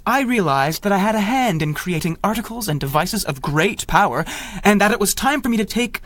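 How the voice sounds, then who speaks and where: Dry, formal proud young inventor. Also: male voice, high-pitched, animated, a little fast, fairly bright tone, no room sound. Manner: formal, self-important, skeptical. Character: proud young male inventor, industrialist and government adventurer. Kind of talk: 1930s radio adventure acting.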